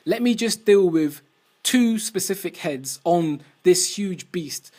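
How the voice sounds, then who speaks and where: A man's voice: sits high, lively intonation, takes it slowly, a little mellow. A young man speaking to camera in casual commentary, reasoning through a debate with rhetorical questions and reading quoted passages aloud. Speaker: vlogger answering a critic.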